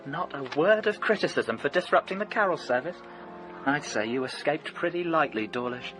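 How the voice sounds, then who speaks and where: A man's voice: a little high, lively intonation, normal speed, fairly bright tone, faint music behind. A man playing a priggish, polite young English undergraduate in a period radio drama, with a clipped, proper manner. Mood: chiding. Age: young adult. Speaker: actor.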